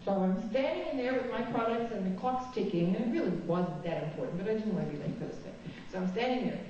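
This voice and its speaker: A woman from New Zealand gives a spiritual talk as a guest speaker in a chapel, telling candid stories with a self-mocking streak. Her voice is mellow. It is picked up from far off in a very echoey hall, with a dull, muffled sound. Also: mid-range voice, lively intonation, fast, slight background noise. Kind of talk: spiritual talk with personal anecdotes.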